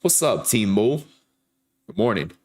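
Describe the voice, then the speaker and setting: A man's voice: lowish voice, very animated, measured pace, creaky. A young man with a sore throat and a stuffed-up, nasal voice, chatting casually with viewers on a trading livestream. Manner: greets viewers by name, hypes likes. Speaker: livestream trader. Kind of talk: casual live-stream chat.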